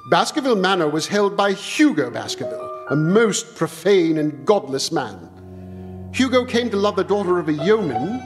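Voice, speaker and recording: An earnest young country doctor, acted by a man in a live radio play. He speaks in a fairly high voice and tells his tale in solemn, swelling tones. Clear music plays underneath him on the stage recording. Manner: solemn storytelling with dramatic swells.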